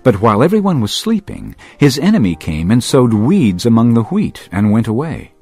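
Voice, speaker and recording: An earnest male teacher in an audio Bible drama, telling parables to crowds. His mid-pitched voice swings widely and expressively while keeping a measured storyteller's delivery, with music underneath. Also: very animated, warm tone, slightly rough. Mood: instructive.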